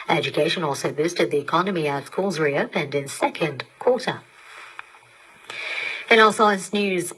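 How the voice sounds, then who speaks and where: A woman presenting scripted radio news in a formal, measured manner, heard over a digital shortwave broadcast. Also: on the low side, a bit bright, husky, rough recording.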